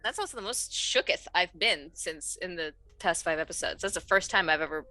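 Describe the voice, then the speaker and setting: A woman chatting and roleplaying as a tabletop game player, informal, slangy and animated in manner. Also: on the low side, a little fast, no echo, faint music behind. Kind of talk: casual improvised roleplay chat.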